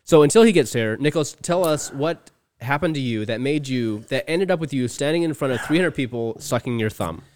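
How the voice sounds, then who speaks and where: A man's voice: mid-range voice, animated, fairly quick, hardly any pauses, darkish tone. Man hosting a casual podcast chat. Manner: leads the conversation, introduces topics and prompts questions. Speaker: podcast host.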